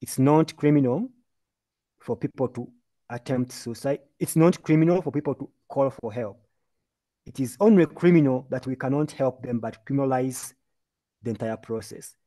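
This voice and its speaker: A man's voice: medium pitch, moderate pace, warm tone. A man from Uganda giving an earnest, emphatic talk as a mental health speaker in a webinar presentation, heard over a video call. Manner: earnest, emphatic, lecturing with lists and repeated phrases.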